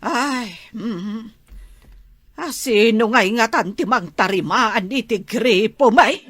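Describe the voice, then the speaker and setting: An actress with an Ilocano accent playing a nosy, nagging village neighbour in a comedy drama. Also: female voice, fairly high pitch, slow pace, a bit bright, no echo. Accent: Ilocano, northern Philippines.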